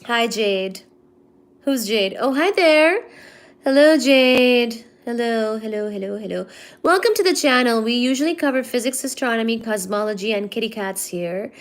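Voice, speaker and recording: A young woman from India hosting a casual livestream, chatting with viewers and reading their comments aloud in a relaxed, low-energy mood. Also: normal speed. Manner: chatty, teasing, reads chat aloud and answers it. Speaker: livestream host. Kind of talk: casual live-stream chat with viewers.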